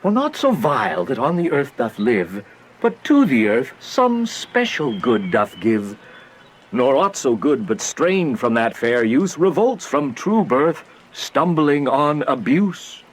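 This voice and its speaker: An actor playing a wise, fatherly monk in a Shakespearean radio drama, speaking verse in a deliberate, sententious way. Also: mid-range voice, sing-song, husky, heavily acted, rough recording. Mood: musing, solemn.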